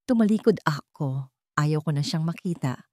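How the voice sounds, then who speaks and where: A woman's voice: low voice, expressive, moderate pace, a little mellow, no echo, studio quality. A woman giving dramatic scripted narration of a romance story.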